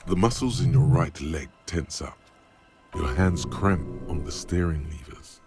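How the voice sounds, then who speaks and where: A man voicing a brooding inner narrator of a detective's mind in a scripted game, gravelly and husky, measured and ominous. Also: rumbling low, over music.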